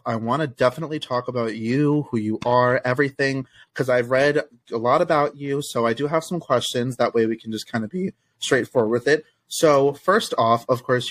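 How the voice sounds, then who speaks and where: A man's voice: mid-range voice, dry recording. A man hosting a podcast interview, friendly and chatty, laughing along with his guest. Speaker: podcast host. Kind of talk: casual interview chat.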